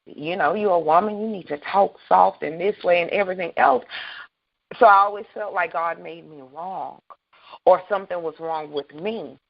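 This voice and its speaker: A woman hosts a faith talk show with a loud, booming voice and a bold, animated way of talking. Her voice sits a little low, and the recording sounds dull and lo-fi. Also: moderate pace, gravelly. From Southern United States. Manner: bold, animated, laughs often. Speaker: radio show host.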